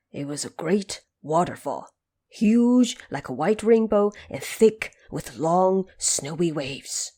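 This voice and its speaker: A woman storyteller giving an expressive, theatrical narration of a scripted storybook audio drama. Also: on the low side.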